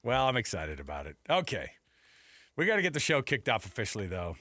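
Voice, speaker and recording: Animated man co-hosting sports radio. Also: lowish voice, fairly quick, no echo. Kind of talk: casual sports talk banter.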